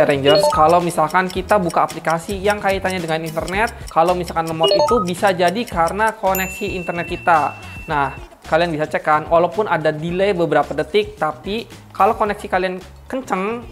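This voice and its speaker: A woman's voice: on the low side, fairly quick, over music. A woman giving a chatty, slangy product review, talking straight to her viewers. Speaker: car audio reviewer.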